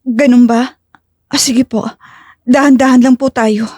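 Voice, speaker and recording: A woman's voice: on the high side, steady tone, measured pace, a little mellow, no room sound, studio quality. A woman playing a frightened, evasive fugitive taxi passenger in an audio drama, hesitant, pleading and stammering. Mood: uneasy, pleading. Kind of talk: audio drama acting in Tagalog.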